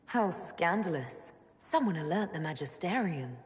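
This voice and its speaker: An actress playing a composed, dry-witted mage leader in a video game, speaking in an understated way. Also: female voice, fairly low pitch, very animated, a little slow. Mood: dry, teasing. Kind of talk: video game cutscene acting. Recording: hall reverb, faint noise.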